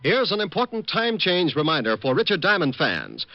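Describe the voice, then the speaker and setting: American male announcer, high and lively delivery, formal old-time radio style, music behind, dull recording. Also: moderate pace. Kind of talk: scripted 1950s radio announcing.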